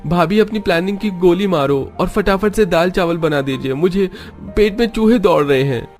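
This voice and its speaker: A man plays a cheeky young brother-in-law in a cartoon family story. He pitches the voice up and wheedles breezily, with music behind. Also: moderate pace.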